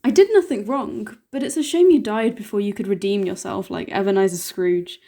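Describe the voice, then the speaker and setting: A woman's voice: mid-range voice, a little fast, mellow, natural voice. A woman playing a self-righteous, haughty character in a theatrical amateur comedy, making grand declarations. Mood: unrepentant pity.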